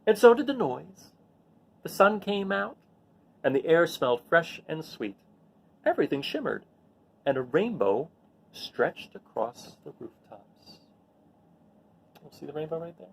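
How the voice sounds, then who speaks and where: A man's voice: fairly high pitch, unhurried, vocal fry, pauses often, slightly rough, poor audio. A man reading a picture book aloud expressively, with casual asides. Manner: expressive, acts out the lines. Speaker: read-aloud storyteller.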